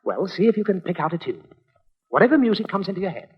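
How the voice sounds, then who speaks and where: A man's voice: high voice, sing-song, fast, background noise. An actor playing an exasperated, long-suffering father in an old-time radio comedy, pleading theatrically. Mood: wry exasperation. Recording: muffled vintage radio recording.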